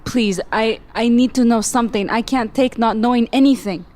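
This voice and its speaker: A woman roleplaying an earnest, vulnerable amnesiac woman with a halting, pleading way of talking. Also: a little high, darkish tone, lightly acted. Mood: pleading, emotional.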